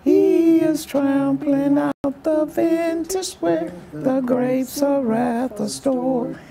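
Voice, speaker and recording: A woman sings a hymn extremely slowly in a high voice. Her tone has a gravelly creak. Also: loud music behind the voice. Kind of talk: slow solo hymn singing.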